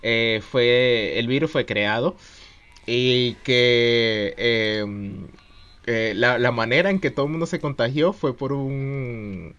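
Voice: mid-range voice, slow, fairly bright tone, dull audio. A podcast co-host, chatting loosely and reflectively. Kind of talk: casual podcast chat.

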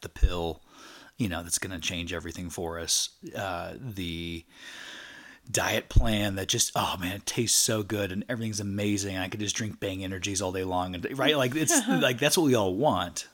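A man's voice: low-pitched, a bit bright. A man co-hosting a podcast in casual chat, talking with energy and rambling through fillers. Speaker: podcast co-host.

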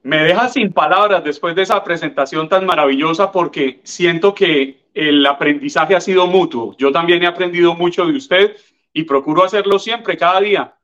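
A Colombian man in his fifties speaks in a slightly raised register, measured and articulate as he answers each question. His audio comes through dull and muffled. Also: a little high, a bit bright. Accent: Colombian, from Tolima. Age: 50s. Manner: articulate, measured, formal address. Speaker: guest journalist. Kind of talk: reflective interview answers.

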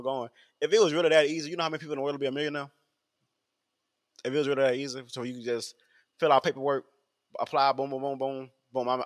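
A man, talking in a rambling casual podcast monologue full of fillers and repeats. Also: medium pitch. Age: 20s.

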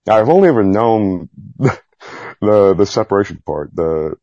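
A man with a low, husky voice chatting candidly in an interview, rambling, self-deprecating and mildly profane. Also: expressive, a little slow, mellow, no echo, dull audio. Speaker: podcast guest, Navy veteran. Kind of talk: candid casual interview chat.

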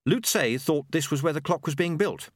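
Man voicing an earnest, hesitant, high-pitched male character. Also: lively intonation, normal speed, no room sound, studio quality. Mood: unsure, earnest. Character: Lobsang, earnest, naive, unsure male. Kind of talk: audiobook character acting.

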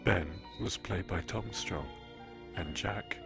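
Announcer: man, very low and heavily creaky, slow scripted credit read over music.

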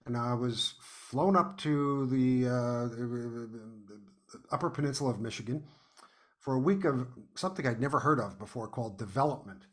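A middle-aged or older American man from New York City, chatting casually as an interview guest and telling anecdotes in an animated way. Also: mid-range voice, moderate pace. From New York City, United States. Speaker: podcast guest, playwright.

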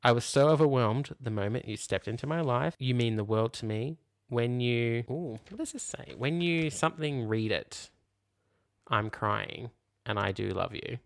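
Australian podcaster, mid-pitched, dry close recording. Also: expressive, moderate pace, dry recording. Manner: mocking, incredulous commentary. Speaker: podcast co-host; junior primary school teacher. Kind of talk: casual comedic chat, reading letters aloud.

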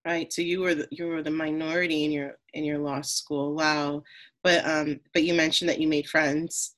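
A woman's voice: sits low, little pitch movement, normal speed, fairly bright tone. A woman from New York hosting an interview, asking questions and encouraging her guest with affirming interjections. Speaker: interviewer, host. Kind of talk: conversational interview.

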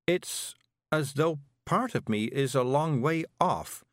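A man voicing a frail, gentle woman witch who murmurs weakly and dreamily, in an audiobook. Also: fairly high pitch, expressive, takes it slowly, no echo, voice pushed up. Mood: weak, dazed. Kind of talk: audiobook character voice.

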